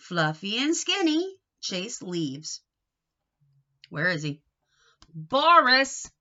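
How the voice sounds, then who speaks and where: A woman reading a picture book aloud to young children in an expressive, sing-song way, coaxing them with questions. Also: mid-range voice, drawn-out words, lo-fi sound.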